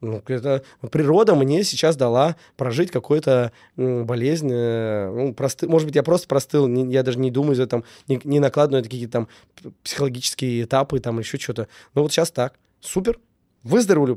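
A man's voice: mid-range voice, fast talker, mellow, no room sound, clean studio sound. A man getting over a cold, talking earnestly in a casual interview and repeating key words with many fillers. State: recovering from a cold. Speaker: business owner, guest.

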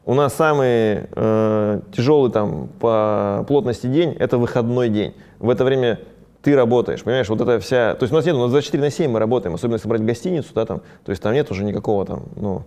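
Fast-talking man with a dark, mellow voice. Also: mid-range voice. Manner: fast, conversational, many fillers. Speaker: ski resort business owner. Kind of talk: casual interview talk.

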